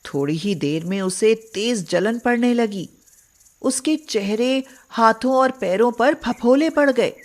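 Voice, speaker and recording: A woman narrating a moral storybook tale in a measured, scripted way. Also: a little high, expressive, darkish tone.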